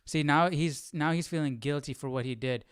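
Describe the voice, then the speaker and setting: Clear-voiced man musing casually, dry sound. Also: fairly high pitch, moderate pace, no room sound, soft background music. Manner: questioning, thinking aloud, often ending on "right?". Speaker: Bible study host. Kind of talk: casual live commentary.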